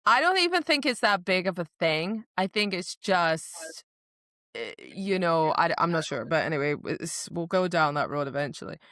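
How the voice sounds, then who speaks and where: A woman with a slightly low voice chats as a podcast co-host. She retells story scenes in an informal way, slipping into bits of dialogue as she goes. The sound is close and dry, with hardly any room. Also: lively intonation, moderate pace, fairly bright tone, dry recording. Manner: informal, dramatizes characters' lines. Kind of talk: casual book chat, retelling scenes.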